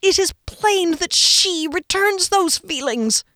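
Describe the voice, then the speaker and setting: An audiobook character voiced by a woman: a fretful, agitated man pleading with his friend. The voice is pitched high and swings widely, urgent and tense, in a close, dry recording. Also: lively intonation, slow, pushed and bright, no echo, heavily acted.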